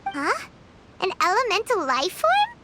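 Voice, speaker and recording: Squeaky, very high-pitched little fairy. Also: female voice, unhurried, heavily acted. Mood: puzzled. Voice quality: squeaky, childlike. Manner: perky, expressive. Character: tiny chirpy female fairy companion, eager and friendly. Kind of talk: scripted video game voice acting. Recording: game audio under prominent music.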